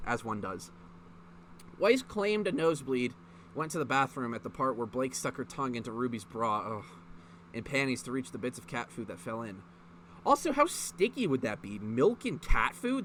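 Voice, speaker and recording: Man, animated pitch swings, sharp forceful tone, informal mocking story reading, sweary reactions, faint background noise. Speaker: online video host reading fan fiction aloud. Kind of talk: mocking dramatic reading with off-the-cuff commentary.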